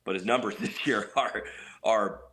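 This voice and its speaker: A man's voice: lowish voice, normal speed, bright, forceful tone. A man giving enthusiastic, conversational hockey analysis as a guest on a sports radio show. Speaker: hockey play-by-play announcer as guest. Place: at home on a couch, remote call.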